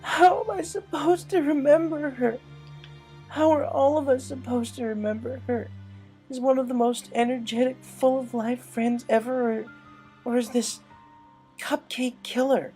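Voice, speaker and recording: Woman playing a guilt-ridden young pony girl: pitched high, halting, pleading, loyal, for a cartoon story with music underneath. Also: fairly even intonation, warm tone. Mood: tearful, desperate.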